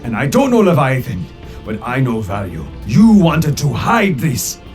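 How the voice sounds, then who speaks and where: An actor playing a cold, greedy thug who makes deliberate threats in an audio drama. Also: male voice, mid-range voice, wildly swooping pitch. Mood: cold menace. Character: menacing, greedy male thug, cold and calculating. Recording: loud music behind the voice.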